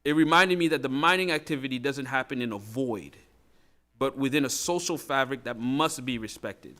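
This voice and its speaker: A man giving prepared expert testimony at a hearing, often halting and searching for his words. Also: medium pitch, bright, forceful tone. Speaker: expert witness at a legislative hearing. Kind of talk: prepared testimony read aloud.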